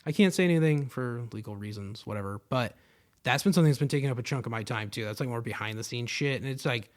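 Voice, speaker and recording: Fast-talking, rambling young man podcasting. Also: mid-range voice. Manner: loose, rambling, heavy swearing and fillers. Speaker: podcast host. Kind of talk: casual solo podcast monologue. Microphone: podcast microphone.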